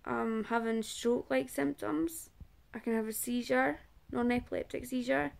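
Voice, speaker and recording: A Scottish woman in her thirties talking to camera about her own life, halting and pausing often as she searches for words. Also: a little high, steady tone, creaky. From Scotland. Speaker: awareness vlogger and support group organiser. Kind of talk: personal awareness talk to camera.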